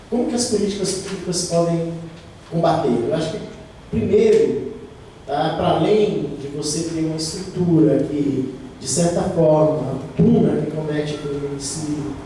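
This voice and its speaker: Man explaining in an echoey room. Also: a little high. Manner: explains with frequent tag questions. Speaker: interviewed guest answering students' questions. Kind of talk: explanatory answers to a class. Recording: echoey room, mic far off, faint noise.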